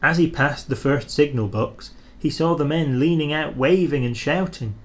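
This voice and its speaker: A man reading a children's storybook aloud, expressive and measured. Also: on the high side.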